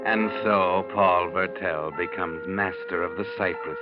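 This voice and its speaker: Deep, ominous radio mystery narrator. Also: male voice, steady tone. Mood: foreboding, wry. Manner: deliberate, sardonic, foreboding. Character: ominous, wry male storyteller of radio mystery. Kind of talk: 1940s radio scripted narration. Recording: muffled old radio recording, loud music behind.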